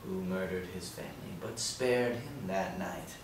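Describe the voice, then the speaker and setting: An actor at a table read playing a sly, taunting riddling villain who speaks in sing-song rhymes. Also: male voice, deep voice, a little slow. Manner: sing-song rhyming taunts, rising to shrieks. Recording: echoey room from far off.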